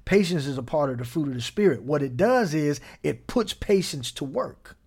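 A man's voice: fairly high pitch, lively intonation, moderate pace, darkish tone, husky. Man preaching a conversational sermon. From Gary, Indiana. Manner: conversational preaching with frequent "right?" checks.

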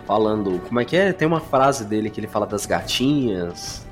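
A Brazilian man in a casual podcast chat, halting as he goes and circling back to rephrase himself. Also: fairly low pitch, animated, faint music behind. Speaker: podcast co-host.

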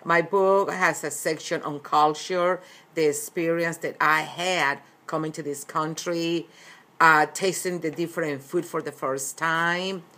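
A woman's voice: low-pitched, fairly even intonation, measured pace, pushed and bright. A middle-aged woman from Colombia, speaking earnestly and often repeating herself as an interviewed author. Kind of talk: recorded interview.

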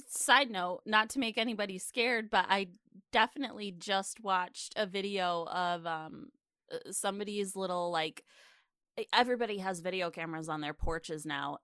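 A woman's voice: mid-range voice, normal speed, fairly bright tone, clear, resonant tone, no room sound, studio quality. A young adult woman co-hosting a podcast in casual chat, with an informal, slangy and exclamatory way of talking. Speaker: podcast co-host.

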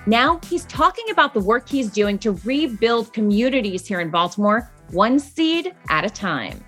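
A woman's voice: fairly high pitch, very animated, moderate pace, fairly bright tone, slightly rough, soft background music. A woman hosting a TV interview, delivering a polished scripted intro and asking friendly questions. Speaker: TV host.

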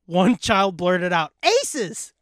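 A man's voice: high-pitched, big pitch swings, drawn-out words, fairly bright tone, no room sound, studio quality. A man co-hosting a casual comedy podcast, bantering and swearing freely. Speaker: podcast co-host. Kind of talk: casual, profane podcast banter and joke reading.

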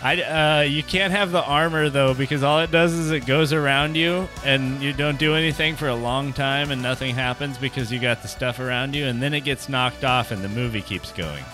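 American guy podcast host, mid pitch, rambly n jokey, music playing behind him. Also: normal speed, hardly any pauses. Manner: rambling, jokey opinions with rhetorical questions to listeners. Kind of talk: casual comedic news chat.

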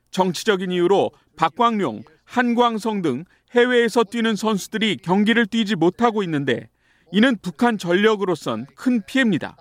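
A man with a high, lively voice reads a translation in a measured, formal way. The sound is dry and close. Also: no echo. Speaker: voice-over interpreter. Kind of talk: read translation.

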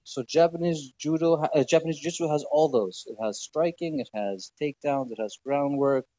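Mellow-voiced man chatting as podcast guest. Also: fairly high pitch, fairly quick, no echo, dull audio. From Greece. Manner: relaxed, hesitant with many fillers. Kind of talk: casual interview chat.

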